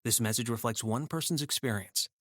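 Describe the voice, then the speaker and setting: A man's voice: medium pitch, a little fast, fairly bright tone, no room sound, studio quality. A man reading scripted ad narration as an announcer. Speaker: advert announcer. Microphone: close studio microphone.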